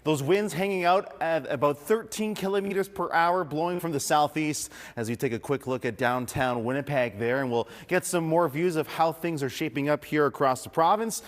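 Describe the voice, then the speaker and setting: A man's voice: fairly high pitch, normal speed, hardly any pauses. A man presenting weather and traffic in a conversational broadcast style. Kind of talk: unscripted-sounding broadcast forecast presentation. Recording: long reverberant room sound.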